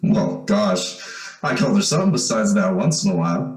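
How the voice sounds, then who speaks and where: Radio drama actor, male: uneasy homecoming young man, hesitant and folksy, very animated, in an echoey room with music. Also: on the low side, fairly quick. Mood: bashful, pleased. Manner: folksy boyish slang, hesitant. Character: eager, uneasy young man back home, telling his story. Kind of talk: old-time radio drama acting with first-person narration. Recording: roomy echo, music behind.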